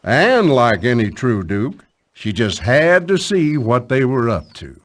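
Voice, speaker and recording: An actor playing a folksy, wry storyteller with a drawling Southern American accent, narrating a scripted tale. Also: male voice, mid-range voice, sing-song, slow. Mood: folksy storytelling.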